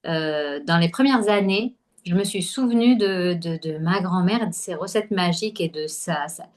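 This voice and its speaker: A woman from Algeria, a podcast guest, talking casually with many pauses and fillers. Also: on the low side, a little mellow. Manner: hesitant, many fillers and restarts. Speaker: podcast guest, holistic nutrition coach. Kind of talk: casual conversational interview.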